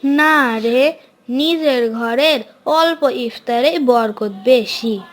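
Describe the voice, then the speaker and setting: Woman voicing a high, humble village girl. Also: very slow. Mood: earnest. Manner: modest, earnest, colloquial. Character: humble, kind, wise young village girl in a cartoon.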